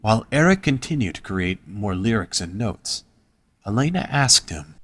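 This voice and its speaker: Low-voiced man narrating, dry sound. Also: big pitch swings, normal speed, no echo. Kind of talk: scripted story narration.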